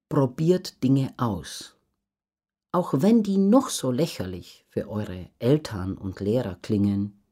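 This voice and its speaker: A woman with a very low-pitched voice answers questions in an interview. She speaks slowly and earnestly, with lively rises and falls in pitch, and puts her thoughts clearly. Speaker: interview guest, professional circus acrobat.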